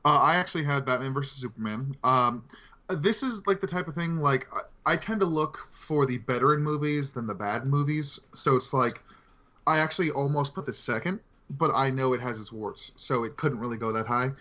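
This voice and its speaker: A man's voice: mid-range voice, a little fast, bright, forceful tone. A man with a mid-range voice co-hosts a casual film discussion. The audio is a little dull and lo-fi, and he talks conversationally. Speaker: podcast co-host.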